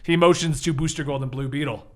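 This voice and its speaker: A man's voice: fairly high pitch, fairly even intonation, quick pace. A man narrating an improvised tabletop game as the game master.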